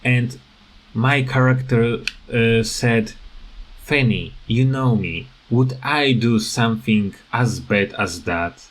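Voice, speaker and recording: A man records loose, off-the-cuff game commentary at a markedly slow pace. His voice sits at an average male pitch. He speaks haltingly, often searching for the next word as he rambles on. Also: a little hiss or hum, low-quality recording. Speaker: gaming video creator.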